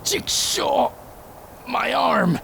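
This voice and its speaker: An actor playing a mocking, theatrical masked villain in an audio drama. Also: male voice, very high voice, sing-song, drawn-out words, gravelly, heavy background noise, full character voice. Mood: pained fury. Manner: mocking, theatrical, laughing at foes. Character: masked male villain thief, taunting and swaggering.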